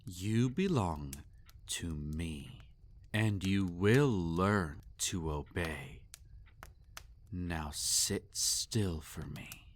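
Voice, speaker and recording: A man playing a possessive, sadistic vampire master in an intimate roleplay audio, teasing and domineering, often slipping into breathy whispers. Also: low-pitched, animated, drawn-out words, heavily acted. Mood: possessive, commanding.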